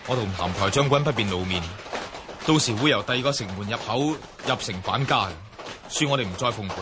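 A man's voice: mid-range voice, brisk, background noise, natural voice. An actor playing a courteous, heroic young nobleman in a Cantonese radio drama, speaking formally and earnestly.

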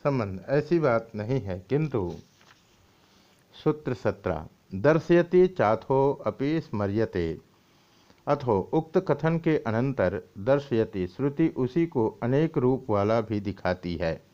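A man's voice: medium pitch, animated, takes it slowly, warm tone. Dull lo-fi recording of a man reading scripture. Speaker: swami reading and explaining scripture. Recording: dull, lo-fi audio.

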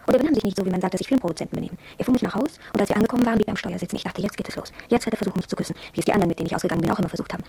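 A woman's voice: medium pitch, very fast, vocal fry, few pauses, warm tone, slightly rough. An actress playing an impressionable, wondering young shop girl who confides her experiences in a reflective radio drama monologue.

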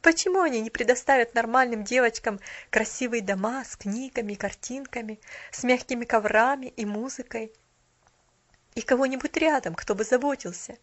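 A woman's voice: a little high, lo-fi sound, lightly acted. A woman voicing a tired young shop cashier who speaks coolly with a bitter edge. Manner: cool, tired, faintly bitter.

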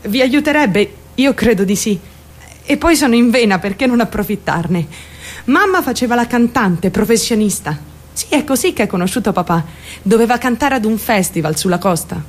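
High-voiced, troubled young woman confiding. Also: animated, moderate pace. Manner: confiding, addresses listeners directly with rhetorical questions. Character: troubled young woman, defensive, candid, rambling about her mother. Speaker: actor. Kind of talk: theatrical monologue in a radio play.

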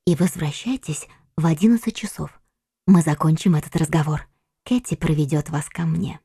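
A man's voice: high-pitched, animated, normal speed, darkish tone, no room sound, studio quality, pitched above the performer's own voice. A man voicing a cunning, cold, seductive noblewoman in an audiobook reading, urgent and commanding in manner. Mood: hushed.

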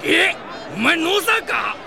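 A man acting a brash, hot-headed idol superfan in a Japanese comedy audio drama, with boisterous, theatrical delivery and rough slangy speech. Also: extremely high pitch, big pitch swings, very slow, a crowd in the background, noisy background, heavily acted. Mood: shocked, taunting.

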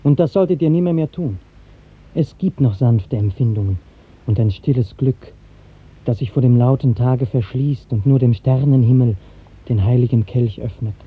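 An actor playing an earnest, sensitive, lovestruck young poet, speaking tenderly and lyrically in 1950s radio drama style. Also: male voice, mid-range voice, normal speed, husky. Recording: muffled, tinny 1950s radio recording.

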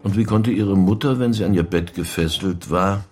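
An actor playing a probing police inspector in a radio crime drama, measured, formal and insinuating as he questions. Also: low voice, darkish tone, faint music behind. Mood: probing, suspicious. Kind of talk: naturalistic German radio play interrogation.

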